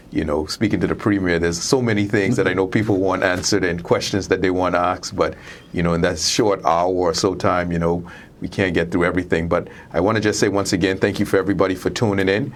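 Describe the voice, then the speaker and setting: Male interview host, low-pitched, casual and agreeable delivery; noisy recording. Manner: agreeable, informal. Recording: clear background noise.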